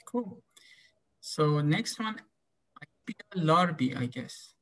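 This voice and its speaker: A man teaching a class over a video call, calling names slowly and asking students follow-up questions. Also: a little high, expressive, a bit bright. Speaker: professor.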